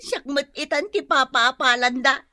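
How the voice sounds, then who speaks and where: A woman's voice: moderate pace, pushed and bright, no room sound, studio quality. A woman playing an envious, quarrelsome grown daughter in an Ilocano radio comedy drama, with a whiny, complaining manner. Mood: sulky complaining. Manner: shrill, complaining.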